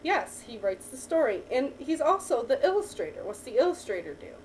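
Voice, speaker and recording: Patient teacher reading to a child. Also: female voice, high-pitched, big pitch swings, a little fast, darkish tone. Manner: patient, encouraging, asks and praises. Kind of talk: reading aloud to a child, with quiz questions. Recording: distant mic with faint background noise.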